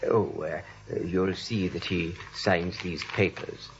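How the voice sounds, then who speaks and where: A low-voiced, mild gentleman. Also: fairly even intonation, takes it slowly. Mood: hesitant. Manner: mild, hesitant, polite. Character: kindly, proper gentleman, a mild-mannered doctor. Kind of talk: 1940s radio acting. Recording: dull old radio recording.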